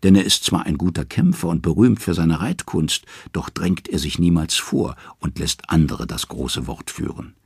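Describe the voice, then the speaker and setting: Deep, gravelly male storyteller. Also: lively intonation, moderate pace, few pauses, no room sound, studio quality. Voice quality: gravelly, husky. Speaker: audio story narrator. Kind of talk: dramatic scripted storytelling of myths.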